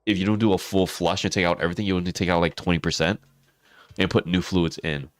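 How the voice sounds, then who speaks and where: A man with a very low, raspy voice, hoarse from losing it, talks easily. The sound is close and dry, with soft music playing behind him. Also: fairly even intonation, fast, no room sound. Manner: chatty, talks to viewers by name, laughs often. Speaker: streamer. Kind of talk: casual livestream chat.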